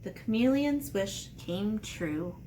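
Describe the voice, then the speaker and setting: A woman's voice: mid-range voice, lively intonation, slow pace, mellow, a little hiss or hum, poor audio. A woman teacher talking to children in simple, playful, step-by-step language, as in a read-aloud and drawing lesson. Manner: simple, child-directed explanations with playful asides. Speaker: elementary art teacher.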